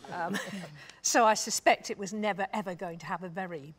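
A British woman in her sixties speaking thoughtfully on a discussion panel, with a measured, wry, reflective manner. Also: lowish voice. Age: 60s. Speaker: panelist, drama scholar. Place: stage panel before dark curtains, indoors.

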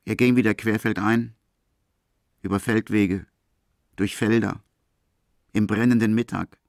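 A man's voice: fairly low pitch, fairly even intonation, measured pace, creaky, darkish tone, dry recording, clean studio sound. A man narrating a literary story for a German audio drama as scripted prose.

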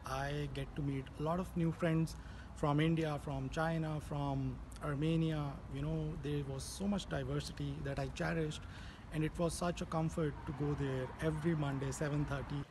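A man with a slightly raised pitch talks easygoingly outdoors into a phone. Clear street noise and passing vehicles run behind him. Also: flat delivery, normal speed. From Pakistan. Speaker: master's student. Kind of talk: casual testimonial. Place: outdoors by a residential building.

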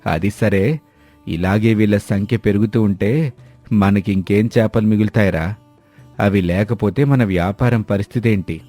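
A man voicing a stern, suspicious elder brother who trades fish in an animated village moral story. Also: on the low side, moderate pace, soft background music, natural voice. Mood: worried. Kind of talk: dramatised moral story dialogue.

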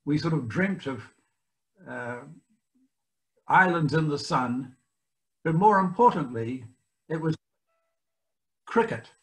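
An older man with a New Zealand accent, giving a guest speech over a video call from his home library, often pausing with fillers. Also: a little high, animated, low-quality recording. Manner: halting, many fillers and restarts. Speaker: guest speaker.

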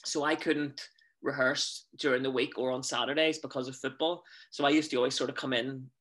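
A man's voice: mid-range voice, steady tone, a little fast, fairly bright tone. A man in his thirties with a Northern Irish accent, chatting as an interview guest over a video call from a small room at home, telling stories with many fillers. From Northern Ireland. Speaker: guest, stage actor and singer. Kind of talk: casual conversational interview. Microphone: webcam on a video call.

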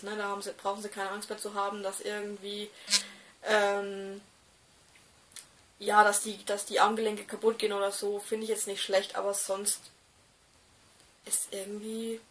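A woman's voice: medium pitch, fairly bright tone, recorded from a distance. A woman in her mid twenties, vlogging casually to camera from a small room, hesitant with many fillers and restarts. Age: 20s. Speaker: doll collector vlogger.

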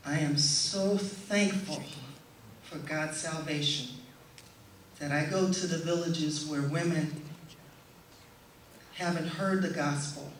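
Woman, deliberate public speaker, low-pitched for a female voice, prepared testimonial, heavily reverberant room, faint noise. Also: fairly even intonation. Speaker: speaker giving a presentation. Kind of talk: prepared testimonial speech read to an audience. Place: indoor stage in a hall, before an audience. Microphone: stand microphone, heard from a distant camera. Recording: echoey hall, distant camera, faint noise.

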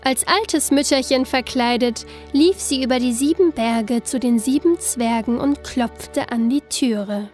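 A woman narrating a scripted fairy tale in an expressive, deliberate storytelling manner. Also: high voice, faint music behind.

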